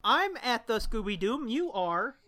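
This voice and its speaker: A man's voice: very high voice, big pitch swings, measured pace. A man co-hosting a podcast in casual chat, joking darkly and swearing freely. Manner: irreverent, foul-mouthed, dark joking. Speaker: podcast co-host.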